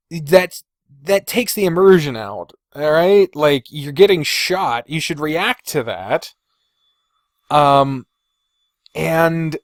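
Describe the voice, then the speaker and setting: A man's voice: a little high, no room sound, studio quality. A man hosting a podcast, talking casually and bluntly about video games with lots of swearing and complaints. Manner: frequent swearing, blunt, griping. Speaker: podcast host. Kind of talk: casual opinionated video game commentary.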